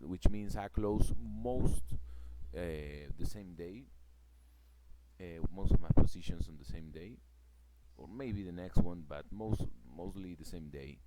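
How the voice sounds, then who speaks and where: A very deep-voiced man with a Peruvian Spanish accent, talking slowly and haltingly as he explains his trading plan in a casual vlog. He keeps searching for words, and his speech is full of fillers and restarts. Loud noise runs under the whole recording. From Peru. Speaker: forex trader vlogger.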